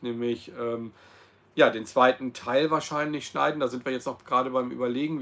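A man in his fifties speaks at a middling pitch, talking straight to his audience in a loose, unscripted way. He hesitates often, fills gaps with ums and corrects himself mid-sentence. The sound is close and plain, like a laptop camera in a small, cosy room. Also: a bit bright. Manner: rambling, many ums and self-corrections. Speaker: host of his own channel. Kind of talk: casual livestream monologue.

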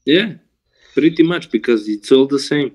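Ein junger Mann, der gemütlich und zögernd in einem Videoanruf erzählt. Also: male voice, mid-range voice, animated, moderate pace, darkish tone. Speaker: video chat stranger. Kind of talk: casual chat.

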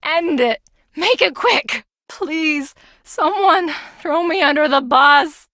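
A woman's voice: high voice, expressive, slow, sharp tone, no room sound, clean studio sound, full character voice. An actress voicing an anxious, overwhelmed young woman in a video game, fretful and plaintive. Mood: panicky, despairing. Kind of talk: video game voice acting, confessional.

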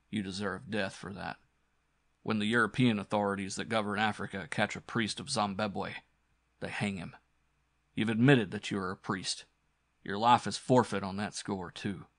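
Man playing a grim, low-voiced avenging hero. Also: fairly even intonation, moderate pace, creaky, a bit bright, no echo. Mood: cold menace. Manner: chilly, unemotional, threatening. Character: grim vengeful armed male adventure hero, coldly furious.

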